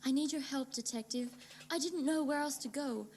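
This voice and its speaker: A woman's voice: high-pitched, normal speed, darkish tone, slight background noise. A woman playing an earnest, troubled female client in a noir detective radio play, speaking dramatically. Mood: pleading, earnest.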